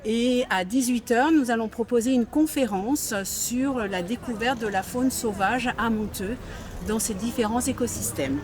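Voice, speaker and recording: A woman volunteering at an outdoor stand, presenting children's activities in a friendly, halting way. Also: fairly high pitch. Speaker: volunteer at a bird-protection stand.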